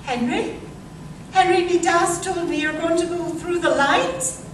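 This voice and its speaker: Woman playing high-voiced pleading young sweetheart, echoey. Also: unhurried, background noise. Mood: pleading, loving. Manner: earnest, imploring. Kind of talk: 1930s old-time radio drama acting. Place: live stage performance in a museum. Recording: very echoey hall, distant mic.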